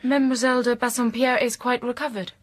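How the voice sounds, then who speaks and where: A woman playing a reserved, quietly firm young English schoolteacher in a period radio drama, speaking in a measured, formal way. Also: on the high side, little pitch movement, dry recording, lightly acted.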